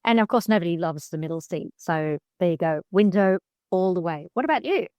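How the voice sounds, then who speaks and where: A woman co-hosting a travel podcast, chatting in a lively, playful, emphatic way. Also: lowish voice, fairly quick, dry recording, lo-fi sound. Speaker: podcast co-host. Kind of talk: casual chat.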